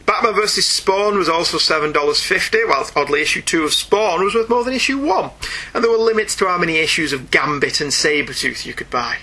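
A British man in middle age with a high, very bright voice, hosting a comics podcast. He talks in a wry, jokey way, with big swings of pitch. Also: animated, fairly quick. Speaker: podcast host. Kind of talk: casual comic book podcast chat.

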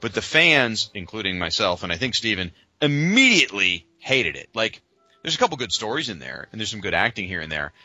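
A man's voice: on the low side, very animated, brisk, bright, forceful tone, dry recording, low-quality recording. A man co-hosting a podcast, talking casually and emphatically with a fan's strong opinions. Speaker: podcast co-host. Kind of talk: casual fan discussion.

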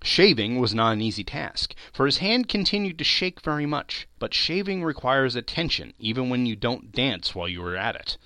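A man's voice: mid-range voice, big pitch swings, normal speed, hardly any pauses, pushed and bright, no echo. A man narrating a classic tale in a theatrical, dramatic audiobook style. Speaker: podcast narrator.

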